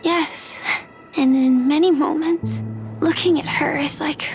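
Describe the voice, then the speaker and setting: A woman playing a wistful, compassionate young woman in a video game, speaking softly and reflectively. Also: sits high, steady tone, takes it slowly, faint music behind. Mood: quiet grief. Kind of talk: soft dramatic video game acting.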